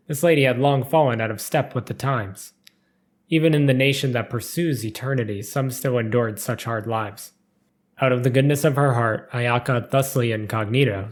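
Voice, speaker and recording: Man reading a story in measured narration. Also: medium pitch. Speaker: narrator reading game character lore.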